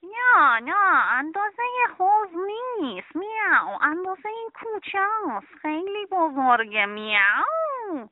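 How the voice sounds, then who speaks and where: Woman putting on a high, lively cheeky storybook cat, meowing between words, tinny phone-like sound. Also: no echo. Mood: teasing. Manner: drawn-out meows between words, teasing.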